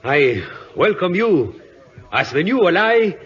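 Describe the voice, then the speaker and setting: An actor playing a courteous, earnest colonel with a ceremonious manner in an old radio drama. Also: male voice, sits high, sing-song, slow, a bit bright, full character voice. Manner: formal, ceremonious. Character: courteous, earnest Spanish colonel bearing news. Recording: dull vintage radio recording.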